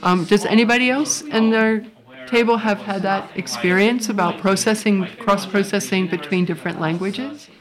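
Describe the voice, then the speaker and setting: A woman's voice: mid-range voice, moderate pace, few pauses, a crowd in the background. A woman with a Californian accent, hosting a panel discussion and asking guests follow-up questions. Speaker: host.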